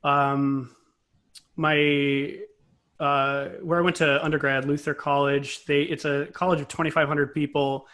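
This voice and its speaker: A man's voice: fairly high pitch, level tone, a little slow, fairly bright tone. A man speaking as an interview guest, a composer and conductor explaining things conversationally in a thoughtful, self-correcting way.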